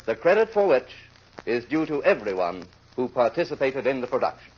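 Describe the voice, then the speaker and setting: A man's voice: medium pitch, very animated, brisk, a little hiss or hum. A man working as a radio announcer, giving a scripted sign-off in 1940s broadcast style. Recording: muffled vintage radio broadcast.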